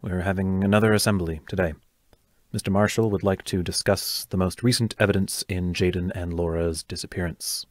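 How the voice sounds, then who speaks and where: A man's voice: low-pitched, fairly even intonation, fairly quick, no echo, clean studio sound, natural voice. An actor playing a stern, strained male schoolteacher in an audio play, formal and clipped in his delivery. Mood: brisk, businesslike. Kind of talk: audio drama acting.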